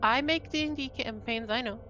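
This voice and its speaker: A woman's voice: a little high, fairly quick, pushed and bright, lo-fi sound, soft background music. A woman chatting casually as a player in a tabletop roleplaying game.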